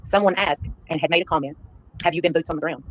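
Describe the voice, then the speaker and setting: A woman hosting a livestream, addressing her viewers in an earnest, halting way. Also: low-pitched. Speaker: live stream host. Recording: dull, lo-fi livestream playback.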